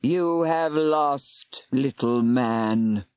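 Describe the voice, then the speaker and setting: A narrator voicing an ancient giant male serpent that speaks slowly and imperiously in old-fashioned words. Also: a little mellow, no room sound. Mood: mocking. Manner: slow, archaic, booming speech.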